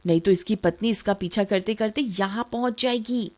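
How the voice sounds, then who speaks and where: A woman playing a sharp-tongued, fearful housewife who scolds and nags in a cartoon tale. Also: mid-range voice, moderate pace, lightly acted. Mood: scolding. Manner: nagging, scolding. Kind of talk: Hindi animated tale acting.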